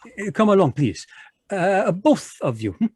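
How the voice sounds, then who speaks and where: A man's voice: high-pitched, sing-song, unhurried, darkish tone, slightly rough, no echo, full character voice. A man voicing a smug Belgian detective with a put-on French accent in an old-time radio play, pompous and polite. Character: fussy middle-aged Belgian male detective, smug. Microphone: video call microphone.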